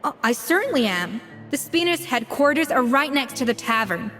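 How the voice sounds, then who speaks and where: High-voiced, proud young chef. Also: female voice, very animated, fairly bright tone, faint music behind. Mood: composed, frank. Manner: brisk, self-assured, matter-of-fact. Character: proud, exacting young female chef, brisk and frank. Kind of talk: anime game voice acting.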